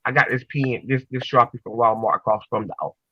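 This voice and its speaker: A man's voice: mid-range voice, fast, husky, dry recording, lo-fi sound. A man in his forties co-hosting in casual, slangy talk, rambling along and repeating his phrases. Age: 40s. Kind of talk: casual chat.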